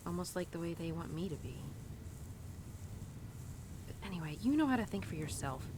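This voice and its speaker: A woman's voice: lowish voice, sing-song, moderate pace, noisy background. A woman playing a young, animal-loving cowgirl who confides tenderly in her horse in an intimate, casual, rambling audio roleplay. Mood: admiring, earnest. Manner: casual, rambling, sighing and giggly.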